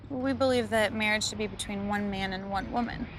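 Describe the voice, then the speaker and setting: A woman acting as a calm, practical wife and mother in a naturalistic film. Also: mid-range voice, brisk, background noise, lightly acted. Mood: calm explaining.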